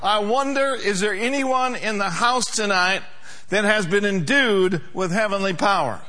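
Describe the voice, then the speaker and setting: A man preaching a sermon as pastor, his voice pitched high and ringing bright. He drives his points with emphasis and calls on the congregation to answer back. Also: unhurried. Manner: emphatic preaching with call-and-response.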